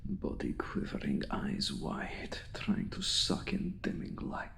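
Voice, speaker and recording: A man playing a seductive, domineering vampire hypnotist in an intimate ASMR roleplay, speaking in a hushed, deliberate, breathy voice that often slips toward a whisper. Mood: predatory, savoring. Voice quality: low, breathy, gravelly, often slipping into whisper. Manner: deliberate, commanding, coaxing with long pauses.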